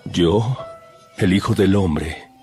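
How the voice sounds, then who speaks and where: A man's voice: low voice, takes it slowly, mellow. A man playing a solemn, authoritative religious teacher in an audio Bible drama. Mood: solemn, instructive. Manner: solemn, instructive. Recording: music under the voice.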